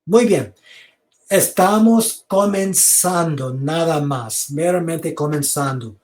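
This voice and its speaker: A man giving an online theology lecture over a video call, speaking slowly, repeating words and inviting questions. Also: fairly high pitch, darkish tone. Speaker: seminary lecturer.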